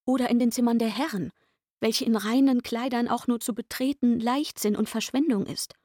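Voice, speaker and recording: A woman's voice: fairly high pitch, normal speed, dark tone, dry recording, clean studio sound, close to an everyday voice. An actress playing an aggrieved young chambermaid in a literary radio play, insistent and indignant as she pours out her complaints.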